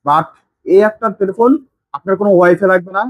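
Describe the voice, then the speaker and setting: Very fast-talking Bangladeshi man, slightly high voice. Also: no echo, narrow, tinny audio. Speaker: shop presenter demonstrating products. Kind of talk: informal sales demonstration.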